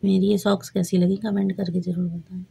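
A woman vlogger who is hoarse from a cold, talking slowly and plainly to camera while trying on socks at home. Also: mid-range voice, flat delivery, warm tone. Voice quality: husky. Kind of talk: casual vlog talk to camera. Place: indoors at home, camera on the floor.

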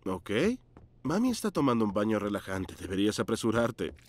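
A man's voice: fairly low pitch, very animated, a little fast, background noise. A man voicing a kindly, affectionate father in a preschool cartoon, with music behind him. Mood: fatherly, mild. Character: kindly father of small children, calm and affectionate.

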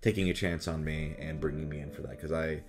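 A young adult man, a voice actor, chatting casually to camera in an animated way at home. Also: rumbling low, brisk. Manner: animated, slips into exaggerated character voices. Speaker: voice actor and video host. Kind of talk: casual chat to camera about voice roles. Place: small furnished room at home, indoors.

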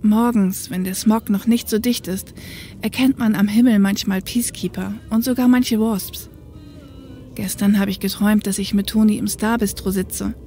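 Bitter, resigned ex-cop woman played by an actress, medium pitch, audio drama, music underneath. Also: steady tone, normal speed, dark tone, lightly acted. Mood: wistful. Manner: glum, dry, resigned. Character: bitter, dejected jobless woman, former police copilot. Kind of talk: fan audio drama acting in German.

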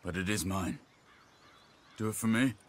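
A man's voice: lowish voice, normal speed, lightly acted. An actor playing a stoic, earnest gunman in a video game drama, terse and solemn. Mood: insistent.

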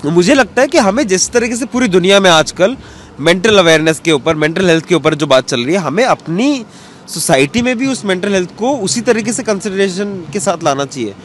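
Man, thirties, high pitch, impassioned halting interview talk, faint outdoor noise. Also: lively intonation. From western Uttar Pradesh, India. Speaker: interview guest, cartoonist. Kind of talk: earnest interview answer with English words mixed in. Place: outdoor bench in a public square. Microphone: handheld interview mic held toward him. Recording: outdoor street ambience with background noise.

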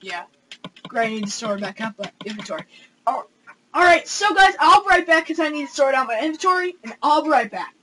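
Young gamer host, high lively voice, bright tone, excitable and halting; home-room recording. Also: male voice, slight background noise, low-quality recording. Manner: excitable, gasping, hesitant fillers. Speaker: gaming channel host. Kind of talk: excited let's play commentary. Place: home room with console screen.

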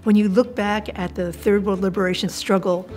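An older woman with an American accent, speaking earnestly and in a measured way in a documentary interview. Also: medium pitch, fairly even intonation, a little mellow. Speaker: community activist interviewee. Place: indoor room, seated filmed interview. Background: music.

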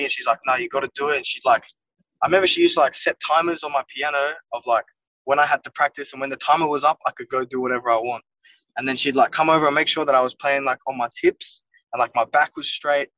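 A young man talks loosely and at length, leaning on filler words as he rambles. His voice comes through a dull, low-fidelity video call. He sits back from the microphone, so he sounds a little distant. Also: steady tone, fast talker, pushed and bright. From Wales. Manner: rambling, heavy use of "like" and "you know what I mean". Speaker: music producer guest. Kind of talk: casual interview chat. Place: inside a car. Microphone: phone or laptop camera on a video call.

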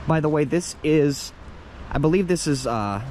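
A man's voice: on the high side, animated, normal speed, a little mellow, a little hiss or hum. A young man casually narrating his hike through a wooded park, admiring the views and reading a plaque aloud, recorded on a handheld camera outdoors. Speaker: hiking vlogger. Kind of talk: casual hiking vlog narration.

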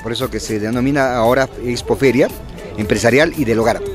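A man's voice: mid-range voice, fairly quick, music in the background. A man, interviewed outdoors at a busy fair and speaking into a handheld reporter mic. He talks fluently and promotionally, with many fillers and tag questions. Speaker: bank executive interviewed. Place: outdoor fairground, busy event. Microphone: handheld reporter microphone.

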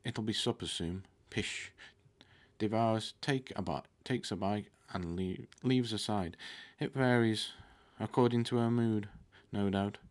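Man, thirties, lowish pitch, fantasy audiobook-style reading, occasional stumbles, close desktop mic. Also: a little slow. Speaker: book reader. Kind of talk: reading a fantasy novel aloud. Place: indoor room with curtains, at a desk. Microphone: desktop microphone close in front.

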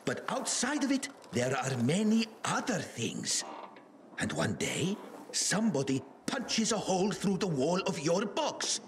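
An actor playing an excitable, patronizing male eccentric scientist in a video game, with a theatrical delivery. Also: high-pitched, measured pace, a little hiss or hum, full character voice. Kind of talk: video game dialogue acting.

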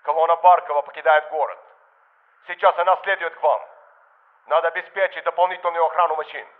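A man voicing a brisk soldier giving a military report over the radio in a video game. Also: fairly high pitch, fairly even intonation, phone-quality sound. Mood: urgent, businesslike. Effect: radio filter. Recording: thin, band-limited military radio.

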